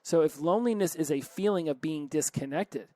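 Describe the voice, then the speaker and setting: A man hosts a solo self-help podcast in an earnest, conversational way, often stopping to correct himself. His voice sits a little high for a man. The recording is close and dry, with no room echo. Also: fairly quick, dark tone. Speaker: podcast host.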